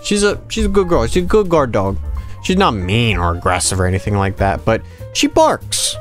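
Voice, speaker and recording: A man in his twenties doing casual gameplay commentary and telling personal stories in an animated, rambling, conversational way. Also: medium pitch, moderate pace, faint music behind. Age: 20s. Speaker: gaming video host. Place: small dim room at home, indoors.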